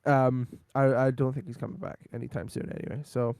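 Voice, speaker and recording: A man co-hosting a podcast and chatting casually, rambling with lots of ums, his voice going hoarse. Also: medium pitch, fairly even intonation, fairly quick, darkish tone, no echo. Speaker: podcast co-host. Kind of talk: casual wrestling recap chat.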